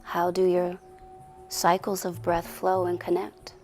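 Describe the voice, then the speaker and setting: A woman leading a guided meditation in a soothing, deliberate way with long pauses, her soft voice often sinking toward a whisper. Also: lowish voice, monotone. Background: music.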